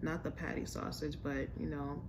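A woman's voice: low voice, little pitch movement, normal speed, noisy background. A young woman with an American accent talking casually and informally to camera as a food vlogger. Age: young adult. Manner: informal, chatty, slangy. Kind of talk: casual chat, restaurant review. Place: indoors in a home room with a staircase.

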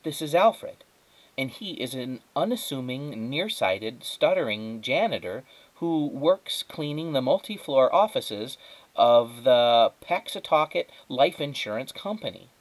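A man's voice: mid-range voice, lively intonation, a little slow, pitched above the performer's own voice, lightly acted, low-quality recording. A man voicing an emphatic, cynical young girl. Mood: explaining. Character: cynical, earnest young girl, bossy and excitable.

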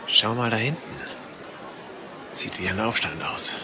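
A man's voice: lowish voice, unhurried, noisy background, close to an everyday voice. A man playing a hot-headed, indignant adventurer with a sword in a fantasy audio drama. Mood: grumbling. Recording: music and crowd bustle behind.

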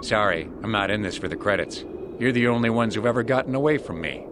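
A very low-pitched, threatening bounty hunter, acted by a man in a video game. He is cocky and boastful, with clear outdoor ambience behind the voice. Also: lively intonation, quick pace, very noisy, natural voice. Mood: menacing, cocky. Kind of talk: video game character acting.

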